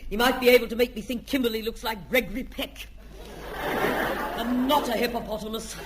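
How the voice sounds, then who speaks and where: A woman's voice: a little high, fairly even intonation, brisk, a loud crowd, natural voice. A woman playing a chummy, crafty neighbour lady in an old-time radio comedy. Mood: conspiratorial. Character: chummy, crafty married neighbour lady, self-amused schemer. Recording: very noisy old radio recording.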